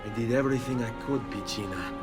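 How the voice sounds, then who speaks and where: A man's voice: medium pitch, quick pace, loud music behind the voice, natural voice. Man playing an earnest young Italian nobleman. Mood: grave, gentle. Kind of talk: video game drama acting.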